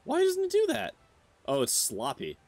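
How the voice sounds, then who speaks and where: A man's voice: high-pitched, huge pitch swings, normal speed, creaky, darkish tone, no room sound. A man in his thirties streaming a game, chatting casually with his viewers and rambling with lots of fillers. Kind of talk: casual chat with chat while gaming.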